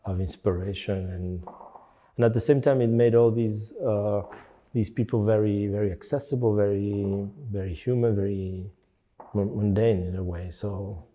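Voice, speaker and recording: Middle-aged man raised in Belgium, low and mellow, hesitant interview answers with plenty of fillers. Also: fairly even intonation, moderate pace. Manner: hesitant, many fillers and restarts. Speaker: architect and teacher.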